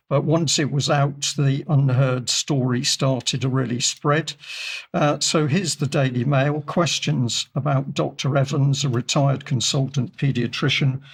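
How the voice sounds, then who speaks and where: Croaky, husky studio commentator, measured delivery, fillers. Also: male voice, mid-range voice. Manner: measured, deliberate, many fillers. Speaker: regular commentator. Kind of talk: discussion commentary reading from articles.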